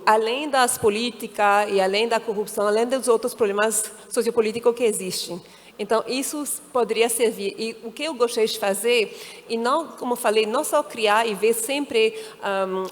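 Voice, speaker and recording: A woman from Ivory Coast speaking on a conference panel, thoughtful, often searching for words and restarting. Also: a little high. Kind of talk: panel discussion. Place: conference stage. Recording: reverberant hall.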